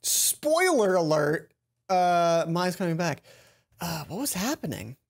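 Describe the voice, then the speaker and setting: A man's voice: high voice, big pitch swings, slow pace. A man hosting a let's play, chatting casually from a home room into a headset. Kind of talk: casual game commentary. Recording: game music behind.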